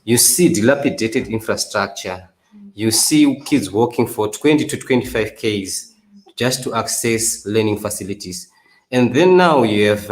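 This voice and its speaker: Man, lowish voice, passionate panel guest; echoey room. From Zimbabwe. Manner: passionate, with hesitations. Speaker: political scientist guest. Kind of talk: discussion panel.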